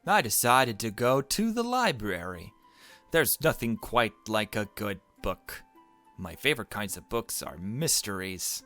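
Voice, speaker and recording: A man reading aloud the narration of a gentle, anxious, bookish young girl in a visual novel. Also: fairly low pitch, sing-song, measured pace, faint music behind. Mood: gentle, musing.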